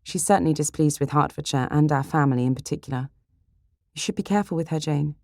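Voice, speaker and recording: A woman voicing a witty, candid, level-headed young Regency heroine in an audiobook, poised and measured. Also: low voice, a little mellow, no echo, clean studio sound, voice pushed up. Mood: earnest.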